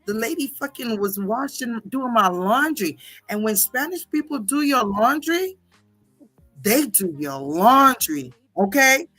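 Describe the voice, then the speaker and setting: A middle-aged woman, chatting casually and telling stories as a livestream host, sassy and slangy with drawn-out emphasis. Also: on the high side, expressive, sharp tone, dry recording. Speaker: livestream host reading viewer comments.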